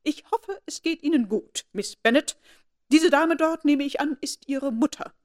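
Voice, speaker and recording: Woman putting on a bossy old noble lady, way higher and louder than her own voice, indignant and commanding. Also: a little slow. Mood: cold formal. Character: haughty imperious elderly noblewoman aunt.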